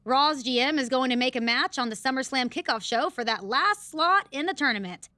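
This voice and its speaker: A woman's voice: high-pitched, lively intonation, brisk, sharp tone, dry recording. A woman playing a teasing wrestling broadcast host, delivering scripted panel commentary in a game. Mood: teasing, brisk.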